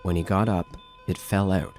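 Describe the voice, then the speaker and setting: Deep guy reading a creepypasta style horror story, measured and dramatic, music behind him. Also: steady tone, a little mellow. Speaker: narrator. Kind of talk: scripted horror story narration.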